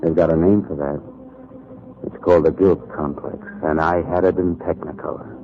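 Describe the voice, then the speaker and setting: An actor playing a hard-boiled, brooding newspaper columnist in 1940s radio noir, terse and sardonic, with an American accent. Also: male voice, rumbling low, fairly even intonation, moderate pace. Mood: haunted, brooding. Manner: terse, world-weary, sardonic. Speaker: narrator and lead actor. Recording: muffled, noisy 1940s radio recording.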